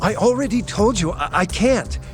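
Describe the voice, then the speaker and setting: A jittery, defensive scientist in a game drama. His high voice jumps around as he falters. Music sits clearly behind him. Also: lively intonation, moderate pace. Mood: flustered, defensive. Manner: stammering, flustered. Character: nervous, defensive male research scientist, easily flustered. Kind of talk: video game drama acting.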